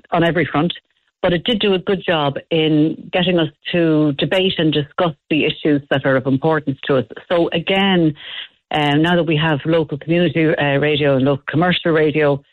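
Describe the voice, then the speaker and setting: A woman's voice: sits low, dry recording. An Irish woman, a lecturer and author, speaking as a radio guest, thoughtful with many fillers and restarts. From Ireland. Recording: tinny phone line.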